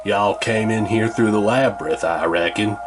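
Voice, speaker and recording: A man voicing a menacing middle-aged male rancher with a Southern accent and a slow, low drawl. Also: music in the background, lightly acted. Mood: gruff.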